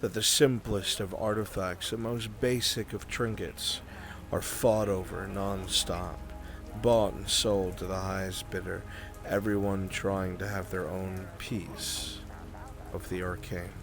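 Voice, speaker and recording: A man playing a grave mage mentor in a fantasy roleplay, speaking in solemn, measured, weighty phrases. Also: deep voice, flat delivery, over music. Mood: brooding, ominous. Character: grave male mage mentor warning of magic's dangers. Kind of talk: improvised fantasy roleplay acting. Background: rain.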